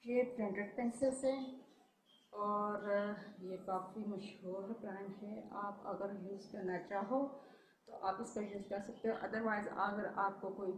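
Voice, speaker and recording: A woman's voice: medium pitch, fairly even intonation, unhurried, echoey room, slight background noise, poor audio. A woman with a sore throat, chatting casually through a makeup haul as a beauty vlogger. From Pakistan. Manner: chatty, enthusiastic about products. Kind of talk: casual product review.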